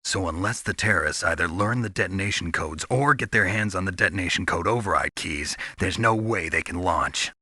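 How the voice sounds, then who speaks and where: A man playing a gruff, hardened special-forces soldier in a video game, with a gravelly rasp and a terse, probing manner. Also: low voice, brisk, hardly any pauses, pushed and bright, no room sound, studio quality, heavily acted. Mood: tense, focused. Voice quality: gravelly, raspy. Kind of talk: scripted video game voice acting.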